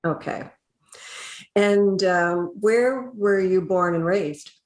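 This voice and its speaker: A woman hosting a podcast interview, speaking in a friendly, measured way with small hesitations. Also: medium pitch, reverberant. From Canada. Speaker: podcast host, HR consultant.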